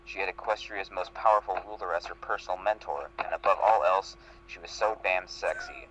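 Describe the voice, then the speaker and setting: A man's voice: deep voice, fairly even intonation, fairly quick, fairly bright tone, slight background noise, poor audio. A man reading fan fiction aloud as a dramatic amateur narrator. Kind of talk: dramatic group reading with laughter breaks.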